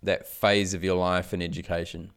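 Guy hosting a podcast, smooth lowish voice, measured questions, agrees along. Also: level tone, fairly bright tone. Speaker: podcast host. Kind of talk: conversational interviewing.

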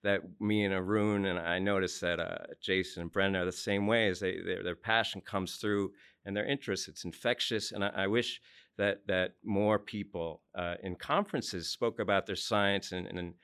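A man with a very deep, smooth, resonant voice talks loosely and with enthusiasm, his thoughts tumbling out. He sounds relaxed and informal. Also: moderate pace. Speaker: podcast co-host. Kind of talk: casual panel discussion.

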